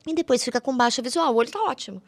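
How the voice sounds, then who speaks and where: A woman's voice: sits high, racing, dry recording. A Brazilian woman, a guest eye doctor on a podcast, explaining conditions conversationally, step by step in everyday words.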